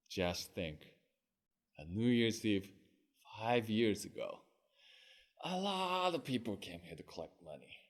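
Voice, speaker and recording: A man playing a sheepish, hard-drinking fishmonger in a one-performer comic tale, talking in an excitable, pleading way. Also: medium pitch. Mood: contented. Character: sheepish drunken fishmonger husband.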